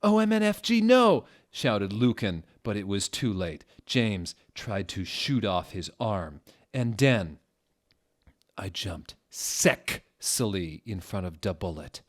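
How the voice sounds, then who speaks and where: A man with a low voice reads a story aloud in a mock-dramatic style. He swings between dry, deadpan delivery and sudden theatrical outbursts. The reading sounds staged on purpose. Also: slow pace. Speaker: dramatic reader.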